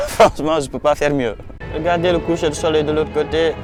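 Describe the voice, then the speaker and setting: A young man with a slightly high voice rambles in easy slang, calling his viewers "guys" as he walks outdoors. He records on a handheld camera, and faint music plays underneath. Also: steady tone, a little fast. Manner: slangy, rambling, talks to viewers as "les gars". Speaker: vlogger advising students. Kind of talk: casual vlog chat. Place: outdoors by a waterfront landmark at sunset.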